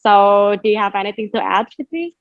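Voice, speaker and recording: A woman teaches yoga to an online class. She is encouraging and reassuring, with a mid-pitched voice. The sound is a slightly muffled, dry video call. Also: expressive, a little fast, no echo. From Indonesia. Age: 20s. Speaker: yoga instructor. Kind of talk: informal instruction to an online class. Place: large empty sports hall. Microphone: video call, laptop a few feet away.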